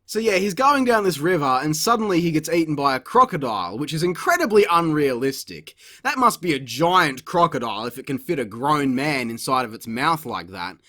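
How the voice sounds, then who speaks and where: A man's voice: on the high side, sing-song, fairly quick, hardly any pauses, bright, forceful tone. A man giving a sarcastic, exasperated, sweary comedic review as a voice-over. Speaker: video reviewer.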